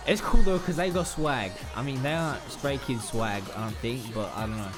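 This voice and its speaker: A man's voice: medium pitch, normal speed, over music. A young man hosting a reaction video and throwing in joking, slangy asides from a small room at home. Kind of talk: casual reaction commentary.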